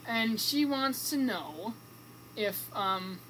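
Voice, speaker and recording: A radio co-host in improvised comedy banter, speaking in a halting, apologetic way. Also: medium pitch, lively intonation, a bit bright, slight background noise, rough recording.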